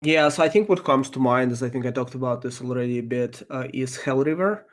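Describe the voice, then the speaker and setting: A man whose first language is Russian, answering interview questions in a thoughtful, halting way with many ums and restarts. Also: medium pitch. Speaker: podcast guest, software engineer.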